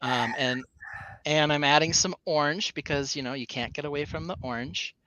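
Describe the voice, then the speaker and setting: A man's voice: on the high side, moderate pace, sharp tone, no echo, rough recording. A man co-hosting a casual live stream, rambling along with plenty of fillers and restarts. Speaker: co-host.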